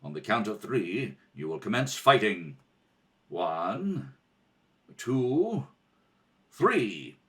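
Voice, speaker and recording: Male actor doing a rebel prince, lowered pitch, imperious, suspicious, old radio serial. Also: very animated, drawn-out words, pauses often, fairly bright tone, full character voice. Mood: grim.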